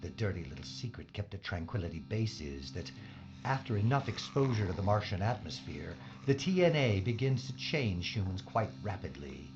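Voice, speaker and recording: A man's voice: fairly low pitch, sing-song, moderate pace, background noise. A man playing an obsessed, pleading mad scientist in a sci-fi audio drama, with a dramatic, grandiose delivery. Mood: ominous. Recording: dull, muffled audio drama recording.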